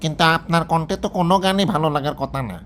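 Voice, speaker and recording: A man acts as a very fast-talking Bangladeshi interviewer in a comic phone-call sketch. He is exasperated and incredulous, scolding in colloquial speech with biting sarcasm. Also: on the high side, a little hiss or hum, natural voice. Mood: sarcastic.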